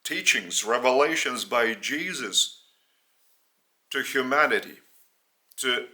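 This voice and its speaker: A man with a Lithuanian accent delivers a spiritual teaching in English, his first language being Lithuanian. He speaks very slowly, breaking his sentences into short, halting phrases. His voice has a very bright, forceful edge. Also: mid-range voice, lively intonation. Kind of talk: spoken religious teaching in English.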